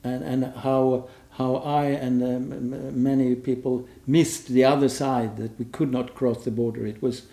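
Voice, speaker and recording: A man's voice: medium pitch, level tone, unhurried, few pauses, mellow. A man speaking on a conference panel, hesitant and searching for words, restarting often. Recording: roomy conference room.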